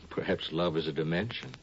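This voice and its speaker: An actor playing an earnest, lovestruck man of a far-future century in 1950s radio drama style, halting and pensive. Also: low-pitched, slight background noise. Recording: muffled 1950s radio recording.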